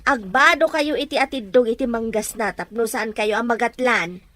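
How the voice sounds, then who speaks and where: A woman's voice: fairly high pitch, moderate pace, sharp tone, no room sound. A woman playing a practical, exasperated rural mother in a family radio drama, scolding and questioning. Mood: exasperated nagging.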